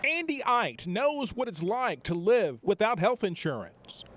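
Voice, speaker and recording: A man's voice: sits high, very animated, unhurried, a bit bright. An American man narrating a scripted television news report as a reporter.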